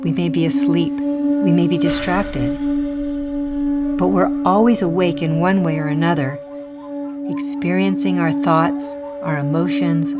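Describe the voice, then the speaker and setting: Female reader, lower-pitched, for a contemplative scripted teaching; deliberate phrasing with pauses, set over loud music. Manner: measured, deliberate, pausing between short phrases. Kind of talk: scripted spiritual reading. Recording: music playing under the voice. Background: prominent music.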